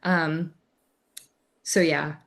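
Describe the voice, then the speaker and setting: A woman's voice: sits low, little pitch movement, extremely slow, gravelly. A young woman chatting casually as a podcast guest, hesitant and saying "like" throughout. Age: young adult. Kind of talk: casual chat.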